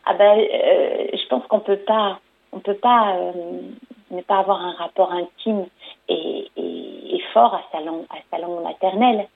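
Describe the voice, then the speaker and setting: A woman's voice: on the low side, a little slow, vocal fry. A woman storyteller answering interview questions, hesitant, with many fillers and restarts. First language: French. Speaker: storyteller and performer. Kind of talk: radio interview. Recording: phone-line audio.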